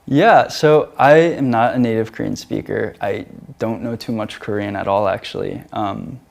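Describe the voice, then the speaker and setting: A young American man with a low, gravelly voice answers questions about his art and travels. He talks casually and hesitantly, circling back and filling pauses with "um" and "uh.". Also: a little mellow. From United States. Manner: hesitant, many fillers and restarts. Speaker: featured artist, guest.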